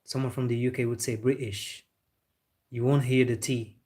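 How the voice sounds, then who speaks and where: A young man hosting a football live stream and reading out viewer comments. He chats loosely at a mid pitch, calls his viewers bro and laughs easily. He sounds relaxed and unscripted. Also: moderate pace.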